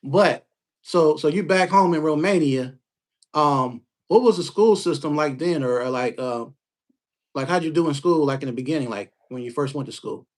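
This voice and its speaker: Male podcast host, relaxed and slangy, a bit high in pitch. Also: normal speed. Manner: laid-back, slangy, frequent shout-outs. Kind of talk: casual interview chat.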